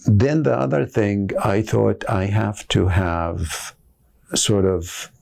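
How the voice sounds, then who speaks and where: Older palestinian guy, arabic first language, super low gravelly voice, slow careful talk with lots of uhs. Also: steady tone, a little mellow. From Gaza, Palestine. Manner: slow, measured, with pauses and fillers. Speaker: calligraphy artist. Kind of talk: documentary interview.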